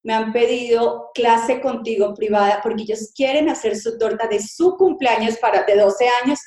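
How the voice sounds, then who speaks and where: A middle-aged woman born in Colombia, a cake designer, telling her story in a lively conversational way and acting out little dialogues. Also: fairly high pitch, normal speed, reverberant. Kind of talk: conversational interview.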